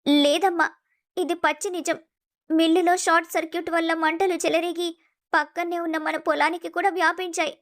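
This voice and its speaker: A woman playing a gentle, devoted young adult daughter in a melodramatic family story. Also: very high-pitched, level tone, moderate pace. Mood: sad, grave.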